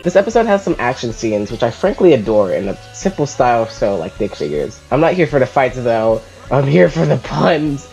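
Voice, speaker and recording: An animated host reads a scripted review of web cartoons, dropping in informal, opinionated asides. The pitch moves around a lot, and faint background music plays under the voice. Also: normal speed, few pauses, muffled recording.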